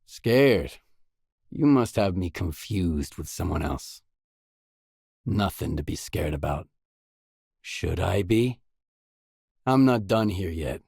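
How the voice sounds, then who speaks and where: A man voicing a gruff, laconic video game mercenary who speaks in a guarded, matter-of-fact way. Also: sits low, animated, slow pace, pauses often, darkish tone, no room sound, clean studio sound, exaggerated voice. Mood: cool, defiant. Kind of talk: video game dialogue lines.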